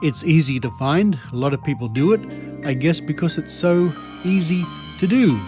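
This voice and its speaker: A man's voice: a little high, expressive, normal speed. An Australian man hosting a podcast with wry, informal commentary. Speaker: podcast host. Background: music.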